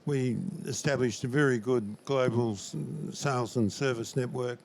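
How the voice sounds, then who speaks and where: An older man answering as a company founder in an interview, speaking in a halting, measured way. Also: medium pitch. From Australia. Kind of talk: conversational business interview. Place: interview lounge at a trade show. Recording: faint background ambience noise.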